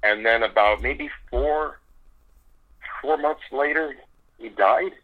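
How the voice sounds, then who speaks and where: A man telling stories as an interview guest, animated and laughing often in the middle of his sentences. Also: mid-range voice, slow, narrow, tinny audio, a little hiss or hum. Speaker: impressionist guest. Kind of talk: casual radio interview.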